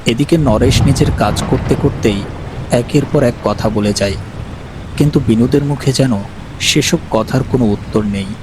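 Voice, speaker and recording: A man narrating an animated horror story with a measured, storytelling delivery. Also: medium pitch, background noise.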